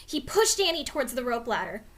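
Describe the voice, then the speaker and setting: Casting: female storybook narrator, very lively melodic delivery, high voice, expressive and dramatized. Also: a little fast. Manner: expressive, clearly dramatized reading. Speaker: storybook reader. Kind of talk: animated children's read-aloud narration.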